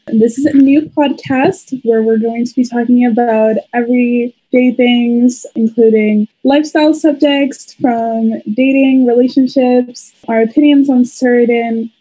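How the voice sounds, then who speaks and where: A woman's voice: fairly high pitch, steady tone, a little slow, few pauses, dark tone, husky, low-quality recording. A woman in her late teens from Sweden, co-hosting a podcast with a casual introduction. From Sweden, some years in Canada. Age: around 18-20. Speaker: podcast co-host.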